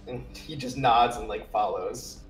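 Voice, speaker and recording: A man casually narrates his character in a tabletop roleplay. His mid-pitched voice sounds echoey and roomy, over faint music. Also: measured pace. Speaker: roleplay player.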